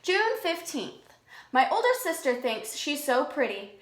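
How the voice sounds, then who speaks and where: Woman reading as slow-talking young worm boy, echoey room. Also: high-pitched, big pitch swings. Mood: matter-of-fact. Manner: slow, simple, storybook delivery. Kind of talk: children's picture-book read-aloud.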